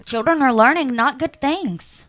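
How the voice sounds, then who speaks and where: A woman's voice: sits high, measured pace, fairly bright tone, no room sound. A woman chatting casually as a radio panelist, plain-spoken and colloquial. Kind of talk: casual chat. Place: radio studio.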